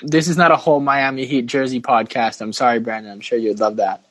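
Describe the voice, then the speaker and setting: A man's voice: medium pitch, steady tone, fairly quick, fairly bright tone, no room sound. Man hosting with playful teasing, close dry sound. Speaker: podcast host. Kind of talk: casual sports banter.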